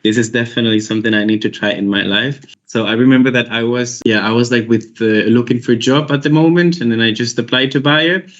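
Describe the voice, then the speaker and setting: A man's voice: mid-range voice, steady tone, fast talker, hardly any pauses. A young man from Costa Rica with a Costa Rican accent, a recruiter chatting casually on a video call, rambling with many restarts. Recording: dull lo-fi call audio.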